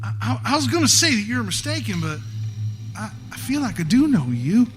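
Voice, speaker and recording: Live drama: anxious male good guy, hesitant, stammering, high and animated, faint stage noise. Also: fairly quick. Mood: concerned, flustered. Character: anxious, kindly man, bewildered and easily exasperated. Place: theater stage.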